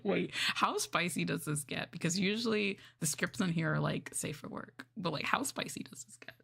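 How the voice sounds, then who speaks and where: A woman with a slightly low voice talks casually to her livestream audience. She is expressive and giggly, drawing out her exclamations as she hosts. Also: moderate pace, gravelly, breathy edge, in a whisper. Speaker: livestream host reading scripts to chat.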